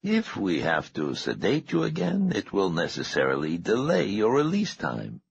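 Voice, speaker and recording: A man voicing a calm, menacing male interrogator in an audiobook, soft-spoken, unhurried and falsely courteous. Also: low voice, creaky, lo-fi sound, a little hiss or hum, pitched above the performer's own voice, heavily acted. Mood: calm menace. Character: calm, menacing balaclava-masked male interrogator with irritating accent. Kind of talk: audiobook character dialogue.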